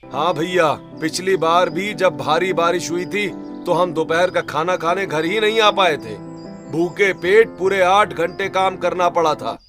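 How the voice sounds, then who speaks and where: A man's voice: high-pitched, unhurried, music in the background. A man playing an earnest, worried farmer son who speaks respectfully in a cartoon story.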